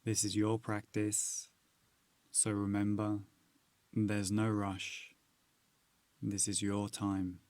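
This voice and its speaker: A man narrating a guided meditation, giving soothing, measured instructions with long pauses. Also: on the low side, completely flat delivery, darkish tone, dry recording.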